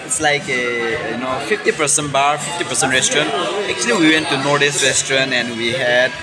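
Young man vlogging over loud music. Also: mid-range voice, steady tone, brisk. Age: young adult. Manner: joking, rambling, filler-heavy. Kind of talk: casual vlog chat. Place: car, then a restaurant. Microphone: phone held at arm's length.

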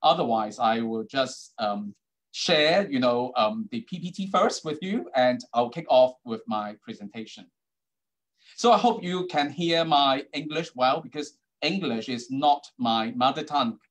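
A man's voice: mid-range voice, lively intonation, a little slow. A middle-aged man with a Hong Kong accent, whose first languages are Cantonese and Putonghua, giving an online keynote talk over a video call, with many ums and uhs. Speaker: keynote speaker, university dean.

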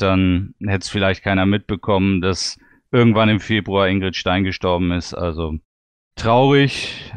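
A man's voice: fairly low pitch, clean tone, no echo, highs cut off. A man talking casually and reminiscing as a panelist on a podcast.

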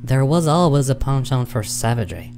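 A man playing a wary peacekeeper imp in a radio play, with a dry, formal, measured delivery. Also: mid-range voice, sing-song, darkish tone. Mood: calm, measured. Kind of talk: short radio play acting. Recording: music behind the voice.

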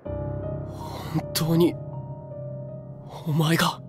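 A man voicing an earnest, intense young hero in a Japanese video game, speaking plainly and deliberately. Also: fairly high pitch, completely flat delivery, over music. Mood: shocked, furious.

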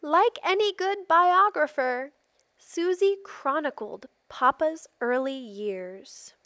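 High-voiced woman reading a storybook aloud. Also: lively intonation, slow pace, pushed and bright, no echo, clean studio sound. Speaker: storybook reader. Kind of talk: expressive read-aloud of a children's picture book.

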